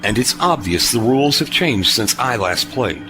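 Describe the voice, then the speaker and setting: Retired male captain acted by a man, dry humour, lively intonation, sci-fi audio drama, music underneath. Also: mid-range voice, normal speed, close to an everyday voice, poor audio. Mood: diplomatic. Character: retired male starship captain, wry and measured.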